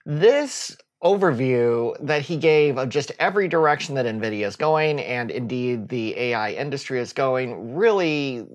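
A middle-aged man, a tech YouTuber, giving an enthusiastic casual rundown to camera from his home office, gesturing with both hands as he talks. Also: medium pitch.

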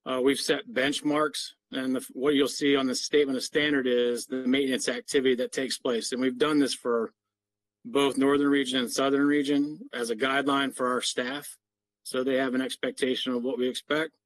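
A man's voice: mid-range voice, flat delivery, moderate pace, no room sound, low-quality recording. A middle-aged man giving an explanatory, businesslike slide presentation as a parks maintenance official on a video call. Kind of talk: slide presentation to a board meeting.

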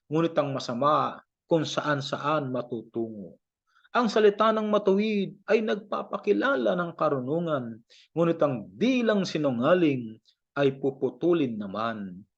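A Filipino man gives a measured scripture reading in a declamatory manner. His voice sits a little high for a man and rises and falls widely across each verse. The recording is dull and lo-fi. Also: big pitch swings, vocal fry. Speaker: Bible reader.

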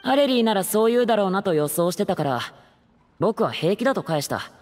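A man's voice: sits high, very animated, a little mellow, soft background music. Earnest, heartbroken young man, reverberant. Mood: wistful. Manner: earnest, measured confessional delivery. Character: earnest, heartbroken young male stage actor and playwright. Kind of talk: Japanese game voice acting.

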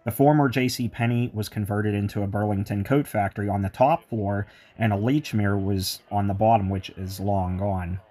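Man, slightly low voice, eager and chatty as a mall tour guide. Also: steady tone, normal speed, few pauses, mellow. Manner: enthusiastic, chatty asides. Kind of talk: walking tour narration with history. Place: indoor shopping mall.

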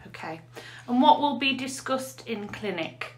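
A woman's voice: fairly high pitch, expressive, a little slow. An English woman, a nurse specialist, interviewing a colleague with plain scripted questions.

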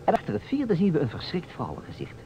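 Playful theatrical guy setting up a mystery game, very lively pitch, old muffled radio play sound. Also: quick pace. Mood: playfully insistent. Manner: theatrical, teasing, emphatic. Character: playful, insistent man staging a detective puzzle. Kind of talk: Dutch radio play acting. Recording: dull, noisy old radio recording.

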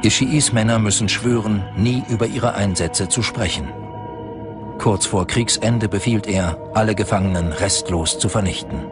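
A man's voice: lowish voice, normal speed, over music. A man from reads a scripted documentary narration in a sober, factual way.

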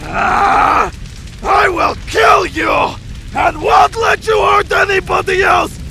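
A man voicing a furious, vengeful horned ex-boyfriend monster in a game mod, seething and menacing in a gravelly, strained, halting voice. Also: very high-pitched, pushed and bright, raised voice, a little hiss or hum, full character voice. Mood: murderous rage. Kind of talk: video game mod voice acting.

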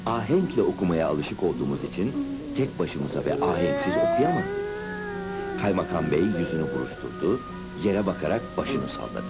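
An actor narrating a cartoon as a grown man recalling his boyhood school days, in an expressive, scripted storybook manner. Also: deep voice, moderate pace, loud music behind the voice, exaggerated voice. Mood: reminiscing, measured.

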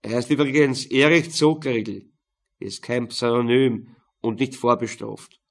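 A man's voice: medium pitch, fairly even intonation, unhurried, vocal fry. Man acting a smug, mocking gangster. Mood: nonchalant. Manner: mocking, nonchalant.

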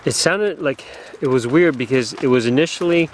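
A man's voice: medium pitch, normal speed. A middle-aged man telling a canoe trip story to a close camera, rambling and restarting his sentences. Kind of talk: casual narration to camera. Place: inside a small tent at night during a storm. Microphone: camera held close in the tent. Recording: outdoor storm noise. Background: wind, rain and pine needles on the tent.

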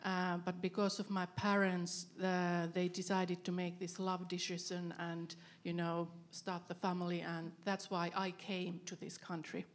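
Woman, 40s, panelist, handheld mic, thoughtful, pausing with fillers. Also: on the low side, flat delivery. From Finland. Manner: thoughtful, frequent filler pauses. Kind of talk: panel discussion. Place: event stage with panel tables, indoors.

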